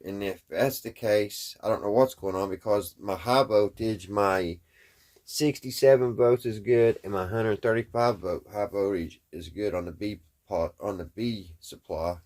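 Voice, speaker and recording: A man with a fairly low voice presents a casual, hands-on hobby demonstration. He talks in a folksy, unpolished way, often halting as he thinks out loud while he works.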